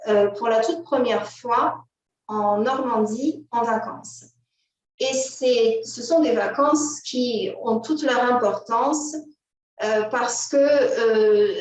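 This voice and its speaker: A woman with a fairly high voice talks slowly through a slide presentation of her novel, hesitating often with filler sounds. She sounds distant from the microphone in a strongly echoing room. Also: a little mellow. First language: French. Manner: halting, many filler "euh", directs an assistant to change slides. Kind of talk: slide presentation at a book fair. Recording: roomy echo, distant from the mic.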